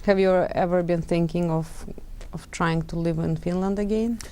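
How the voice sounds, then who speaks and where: A woman's voice: fairly low pitch, steady tone, moderate pace, mellow. A woman hosting a TV interview in English, using many fillers and short murmurs of agreement. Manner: frequent fillers and back-channel murmurs. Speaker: TV host.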